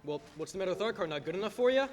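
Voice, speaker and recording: A harried small-town father from a radio drama, acted by a man, short-tempered and on the edge. He talks quickly, with faint noise in the recording. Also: sits high, lively intonation. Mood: irritable. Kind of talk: old-time radio play acting.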